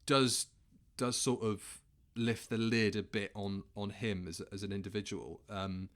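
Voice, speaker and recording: A man hosting a podcast commentary in a casual, halting, sweary way, often correcting himself. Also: fairly low pitch, steady tone. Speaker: podcast host.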